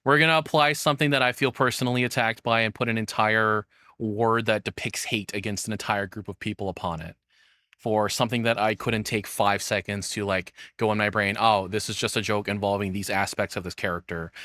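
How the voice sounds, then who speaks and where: A man's voice: on the low side, steady tone, fairly quick, bright, forceful tone, no echo, studio quality. A man giving casual reaction commentary in a rambling, sweary, exasperated way. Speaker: streamer reacting to a video essay.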